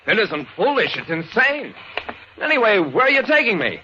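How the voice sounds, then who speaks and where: A male private detective narrates his casebook with wry exasperation and very lively intonation. The old radio recording is muffled and noisy. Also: high-pitched, moderate pace, heavily acted. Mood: exasperated.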